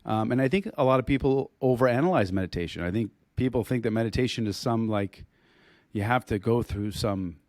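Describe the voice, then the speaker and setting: Hoarse man rambling on a podcast. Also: mid-range voice, fairly quick, a little mellow, no echo, studio quality. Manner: rambling, frequent restarts and throat clearing. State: hoarse, losing his voice. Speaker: podcast co-host. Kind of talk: casual podcast chat.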